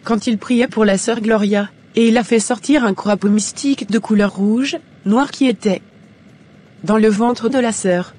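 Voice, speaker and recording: A woman's voice: on the high side, unhurried, a little mellow, breathy edge. A woman voicing a credulous, admiring churchgoer chatting in a cartoon. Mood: amazed, admiring. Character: amazed, credulous female church member. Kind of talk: dramatic animated dialogue.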